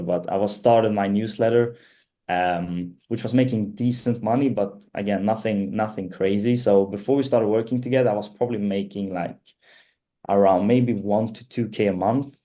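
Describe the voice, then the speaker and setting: A man in his twenties from Sweden, chatting casually as an interview guest, relaxed and rambling with lots of fillers. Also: fairly low pitch. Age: 20s. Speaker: guest, student and page owner.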